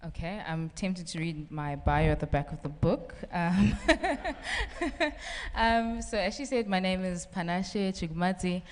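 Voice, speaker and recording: A woman's voice: lowish voice, big pitch swings, takes it slowly, slight background noise. A woman novelist from South Africa, chatting on a festival panel with frequent "um"s and laughter, then reading from her book. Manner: chatty, laughs often, many "um" fillers. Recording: reverberant festival hall.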